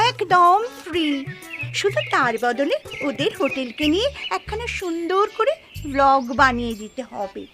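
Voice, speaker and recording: A woman's voice: very high-pitched, full character voice. A woman voicing a bustling, chatty mother in a cartoon, explaining and arranging things in a motherly way. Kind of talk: cartoon voice acting. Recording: loud music bed under voice.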